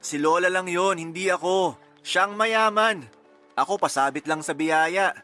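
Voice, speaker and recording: A man playing a modest, sincere young man in a Filipino audio drama, earnest and gently bantering. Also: high-pitched, a little slow, faint music behind. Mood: light, modest.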